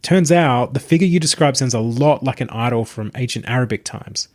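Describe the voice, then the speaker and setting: A man's voice: medium pitch, fairly quick, no room sound, clean studio sound, natural voice. A man voicing an earnest young male student in a narrated story, tentative and casual, rambling a little. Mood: eager, tentative.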